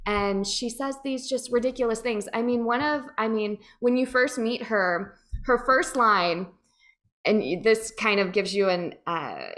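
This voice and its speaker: Female guest, fairly high voice, enthusiastic and conversational, chatting about her craft. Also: measured pace, a bit bright. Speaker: guest voice actor. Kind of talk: casual chat.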